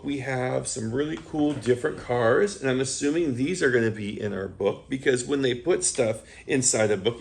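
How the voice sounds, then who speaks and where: Animated high-voiced man reading to kids. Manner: animated storyteller, chatty asides to young listeners. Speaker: children's read-aloud host. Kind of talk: reading a picture book aloud to children.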